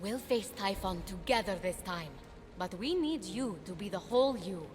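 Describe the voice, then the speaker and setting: An actress voicing a stubborn, confident young heroine in a video game, earnest and persuasive. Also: female voice, medium pitch, animated, normal speed, lightly acted. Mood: resolute. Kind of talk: video game cutscene acting. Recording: music behind the voice.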